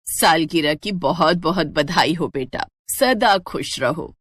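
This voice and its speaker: A woman's voice: deep voice, lively intonation, dry recording, studio quality, voice pushed down, full character voice. A woman voicing a kindly older mother-in-law in a family story, affectionate and motherly. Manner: slow, motherly.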